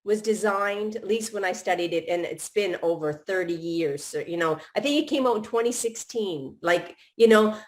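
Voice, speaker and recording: A woman's voice: medium pitch, normal speed, few pauses. A middle-aged woman hosting a live podcast, chatting casually in long run-on thoughts with many restarts. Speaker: podcast host.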